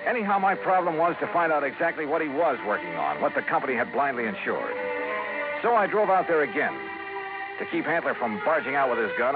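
Male actor, wry insurance investigator for 1950s radio drama; clipped hard-boiled narration, lively pitch, narrow vintage broadcast with music behind. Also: natural voice.

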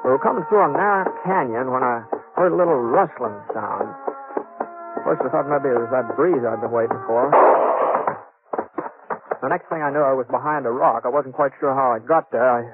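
A man's voice: mid-range voice, over loud music, close to an everyday voice. A man playing a modest, courteous drifting gunslinger in a 1950s radio western, speaking hesitantly and folksily. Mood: wry, reflective. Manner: hesitant, halting, folksy drawl in phrasing. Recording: dull old 1950s radio recording.

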